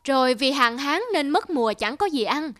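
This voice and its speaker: Woman voicing a haughty high-voiced cartoon envoy. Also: lively intonation, normal speed, a bit bright. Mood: slyly mocking. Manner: haughty, mocking. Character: arrogant, sneering male envoy of a mighty country. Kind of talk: cartoon folktale voice acting.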